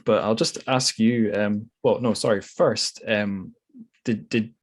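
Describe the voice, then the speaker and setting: Low-voiced man, dry close sound, chatting books. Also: steady tone, slow, dry recording. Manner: thinking aloud, informal. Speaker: podcast host. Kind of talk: casual literary discussion.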